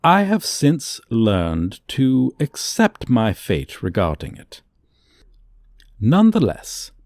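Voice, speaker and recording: A man plays a condescending dragon professor with a lowish voice that rises and falls dramatically. He speaks very slowly, in pompous, old-fashioned formal phrases, as in an ASMR fantasy roleplay. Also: darkish tone, no room sound, clean studio sound, heavily acted. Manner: pompous, archaic, formal diction. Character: haughty dragon professor, condescending, lecturing a human student.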